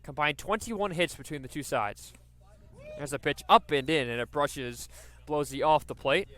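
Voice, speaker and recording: A man's voice: on the high side, normal speed, a bit bright. A man doing live radio baseball play-by-play, describing the action and working in stats as he goes. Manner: descriptive, stat-laden delivery.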